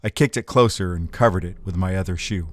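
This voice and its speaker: A man's voice: deep voice, a little fast, natural voice. A man playing a wry, hardboiled private eye, narrating a noir radio drama. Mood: wry, tense.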